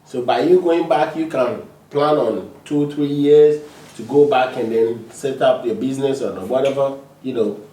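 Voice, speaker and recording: A man from Ghana chatting casually on a podcast, pausing often to search for words. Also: medium pitch, dark tone, far from the mic. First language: a Ghanaian language. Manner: halting, searches for words with drawn-out uhs. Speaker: podcast co-host. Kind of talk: casual chat. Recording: roomy, from off the mic.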